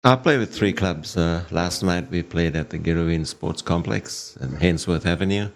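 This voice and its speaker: Low-voiced older man from Malaysia. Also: gravelly. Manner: plain, unhurried, repeats words when starting a thought. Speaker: guest. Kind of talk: relaxed storytelling in conversation.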